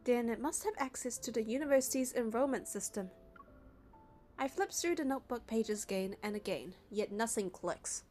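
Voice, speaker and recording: A woman's voice: on the high side, lively intonation, moderate pace, a little mellow. A young woman narrating a game playthrough, reading the story and the player character's part in a casual way. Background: music.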